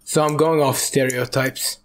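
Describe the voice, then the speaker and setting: A man's voice: medium pitch, fairly even intonation, measured pace, slight background noise. A man co-hosting a podcast, chatting casually with friends in a brash, profane, teasing way. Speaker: podcast co-host and video editor.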